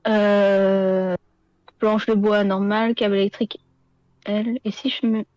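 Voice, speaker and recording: A woman's voice: medium pitch, level tone, unhurried, a little mellow, no room sound, lo-fi sound. A woman acting as game master, hesitant and full of fillers while she looks up rules. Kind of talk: running a tabletop game, checking rules.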